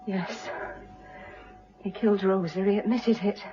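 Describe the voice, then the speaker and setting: A woman's voice: medium pitch, fairly even intonation, unhurried, warm tone, dull audio, close to an everyday voice. An actress playing a plucky, candid British woman rescued from danger in a radio drama. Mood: shaken, relieved.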